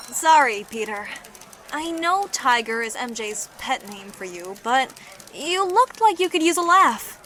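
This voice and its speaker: A woman acting a friendly young woman in a comic dub, playful and gentle as she teases and consoles. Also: sits high, very animated, takes it slowly, slight background noise, heavily acted. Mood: teasing.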